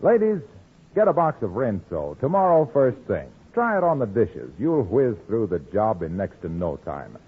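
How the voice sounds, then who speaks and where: A man's voice: fairly low pitch, sing-song, normal speed, slightly rough. A man announcing and selling in a 1940s radio commercial style. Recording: muffled vintage radio, faint music.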